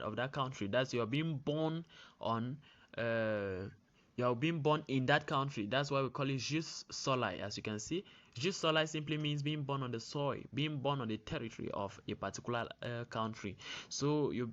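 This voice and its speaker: A man's voice: medium pitch, a bit bright. A man with a Cameroonian accent giving an explanatory lesson as a teacher, didactic, repeating and rephrasing his key phrases. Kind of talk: spoken lesson, explanatory lecture. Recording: dull, lo-fi sound.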